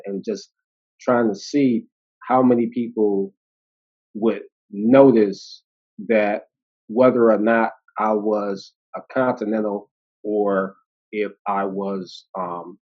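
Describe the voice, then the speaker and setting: A man in his fifties with an American accent, telling stories as an interview guest, animated and laughing, with many fillers. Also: on the low side, slow pace. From United States. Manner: animated, laughing, acting out quoted voices, many fillers and restarts. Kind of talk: casual storytelling conversation. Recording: dull lo-fi audio.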